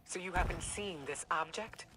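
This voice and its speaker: An actress playing a stern, sceptical commander in a video game, speaking firmly and precisely. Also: female voice, lowish voice, moderate pace, background noise, close to an everyday voice. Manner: firm, questioning, precise. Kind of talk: video game mission dialogue.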